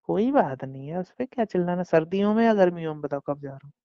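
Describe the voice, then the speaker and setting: Gravelly young man on a muffled call. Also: high voice, animated, fast talker, dry recording. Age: young adult. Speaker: boyfriend. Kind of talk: casual intimate phone chat. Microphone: phone call. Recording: muffled lo-fi phone call recording.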